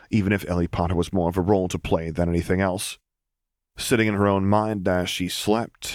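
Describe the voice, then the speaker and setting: A man reading a story aloud as an audiobook narrator, in an even, measured way. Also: low-pitched, no echo, clean studio sound. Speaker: audiobook reader.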